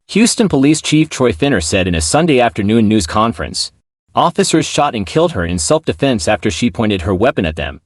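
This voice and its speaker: A male news narrator delivers a scripted report. His medium-pitched voice rises and falls in an animated way, and the recording is dry with hardly any room sound. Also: no room sound.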